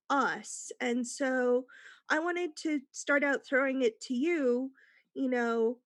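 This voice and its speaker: A woman's voice: high-pitched, no room sound. A woman panelist in a casual discussion, reflective and given to explaining her points.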